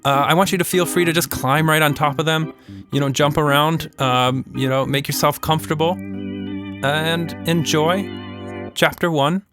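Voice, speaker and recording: A man hosting a children's podcast and telling stories in a playful, mock-serious, unhurried way. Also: fairly high pitch, steady tone, over music. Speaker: podcast host and storyteller. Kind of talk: children's storytelling, casual and scripted.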